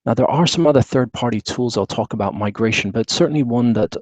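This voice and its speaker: A man from the UK with a British accent, presenting a technical session at an online conference in a relaxed way, with many fillers and restarts. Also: mid-range voice, fast, dry recording. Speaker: webinar presenter.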